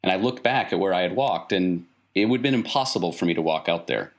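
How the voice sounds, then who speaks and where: A man's voice: low voice, animated, fast talker. A man playing a patient but evasive wandering mystic uncle in a tabletop game, reflective and given to repeating himself.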